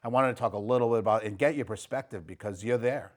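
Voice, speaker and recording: A male host asks questions in a relaxed talk-show conversation. He often stops, restarts and rephrases before getting to the point. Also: lowish voice. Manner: halting, restarts his questions. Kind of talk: casual interview conversation.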